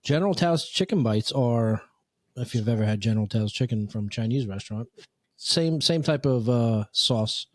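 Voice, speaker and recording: A man with a middle-pitched voice chats bluntly and casually with friends. He cuts his answers short and swears in passing. The recording is dry and close. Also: expressive, normal speed, no echo. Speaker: podcast co-host. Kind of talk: casual chat.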